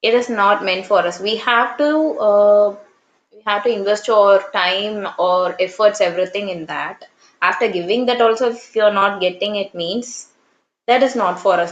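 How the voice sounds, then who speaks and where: A woman in her thirties from Tamil Nadu speaks English with a Tamil accent, her first language being Tamil. She talks in an earnest, reflective way, pausing on fillers and restarting her sentences. Her voice sits in a middle range and echoes around the room. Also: mid-range voice, lo-fi sound. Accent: Tamil Indian English. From Tamil Nadu, India. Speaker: English trainer. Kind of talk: casual conversation practice. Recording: roomy echo.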